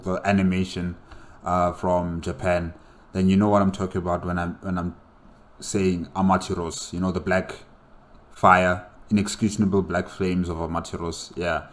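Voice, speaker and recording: Very deep-voiced man, casual reaction host. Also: level tone, moderate pace. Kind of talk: casual solo reaction commentary.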